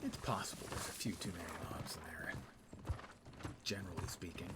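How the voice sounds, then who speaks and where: Guy livestreaming a game, kinda muttering to himself, thinking out loud, noticeable noise behind him. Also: low-pitched, fairly quick. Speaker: game streamer. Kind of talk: casual live gameplay commentary. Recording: clear background game noise.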